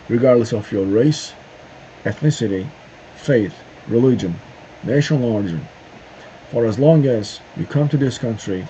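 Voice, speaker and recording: A middle-aged man from Turkey, speaking a halting opinion monologue to camera with many pauses and "uh"s. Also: mid-range voice, mellow. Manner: halting, searching for words with frequent "uh" and "um" pauses. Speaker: video host. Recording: dull lo-fi sound with faint noise.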